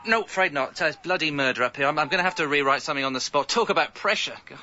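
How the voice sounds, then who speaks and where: A man's voice: on the high side, racing, bright, forceful tone, lo-fi sound, slight background noise. An English man playing a hapless, evasive young father, narrating and talking in a radio comedy with a hesitant, wry manner. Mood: flustered. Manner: hesitant, self-justifying, wry. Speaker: narrator and lead character.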